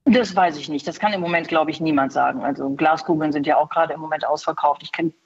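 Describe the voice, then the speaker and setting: A woman's voice: low voice, fast, husky, muffled recording. A woman, a professor, explaining earnestly as an expert guest in a radio interview, heard over a remote line. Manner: earnest, explanatory, lively tempo shifts.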